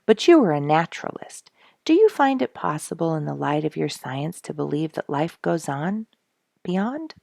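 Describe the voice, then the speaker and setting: A woman's voice: low voice, very animated, moderate pace, gravelly, warm tone, no echo, studio quality, full character voice. A woman reading a storybook aloud, voicing an eager, thoughtful boy with an earnest, exclaiming delivery. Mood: earnest, questioning.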